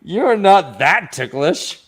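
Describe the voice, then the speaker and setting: A man's voice: sits high, big pitch swings, slow pace, a bit bright, slightly rough. A man co-hosting a casual book-discussion podcast, joking around and laughing often. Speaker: podcast co-host.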